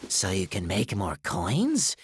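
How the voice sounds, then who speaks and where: A woman voicing a wary, soft-hearted male bartender in an animated series, with a hesitant, conversational manner. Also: extremely low pitch, slow, husky, no echo, studio quality, full character voice. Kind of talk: animated web series voice acting.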